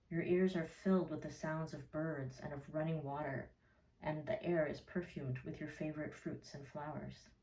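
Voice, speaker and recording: A woman narrating a guided meditation in a soothing, measured way, leaving gentle pauses. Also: sits low. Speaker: therapist leading a guided meditation. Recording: roomy echo, distant from mic.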